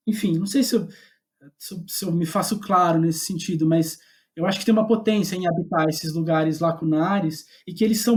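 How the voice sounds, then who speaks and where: A man's voice: a little high, a little mellow. A man with a Brazilian accent speaking reflectively as an interview guest, tentative and searching for his words.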